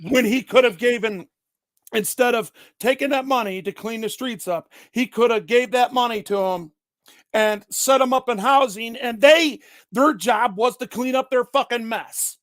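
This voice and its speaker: A man's voice: extremely high pitch, moderate pace, fairly bright tone, no echo. A man talking politics in casual chat, blunt and emphatic, swearing freely.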